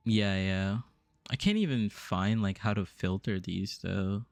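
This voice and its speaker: A man's voice: low voice, a little slow, gravelly, dry recording. A young adult man from the US East Coast, musing aloud and answering chat during a casual game stream. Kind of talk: casual live-stream chat while playing.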